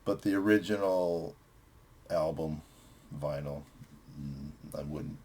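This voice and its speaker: Deep voiced guy hosting a music vlog, chatting casually about records, some background noise. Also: takes it slowly, darkish tone. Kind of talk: casual chat reviewing records with friends.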